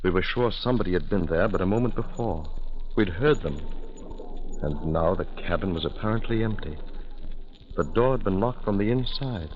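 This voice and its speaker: Deep, grave mystery narrator. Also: male voice, expressive, normal speed, darkish tone. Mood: grave, suspenseful. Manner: grave, suspenseful. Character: grave male narrator recounting an eerie mystery. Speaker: story narrator. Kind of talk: 1940s radio drama narration. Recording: muffled, hissy 1940s radio recording.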